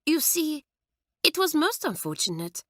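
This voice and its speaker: A woman's voice: high-pitched, very animated, a little slow, dry recording, studio quality, voice pushed up. A woman voicing a cheeky, amiable French schoolgirl with a French accent, light and unbothered. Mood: explaining.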